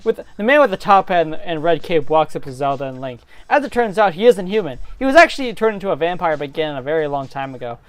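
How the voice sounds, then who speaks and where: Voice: mid-range voice, sing-song, quick pace, hardly any pauses, dry recording. A narrator giving a dramatic reading of a comic fantasy story, sometimes stumbling and rereading phrases.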